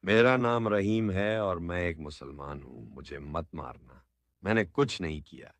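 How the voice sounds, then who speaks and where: A man's voice: low-pitched, unhurried, no room sound. A man playing an earnest, imploring captive who wants peace, in a cartoon story. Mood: frightened pleading. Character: pleading, peace-minded family man held captive. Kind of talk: cartoon moral story dialogue.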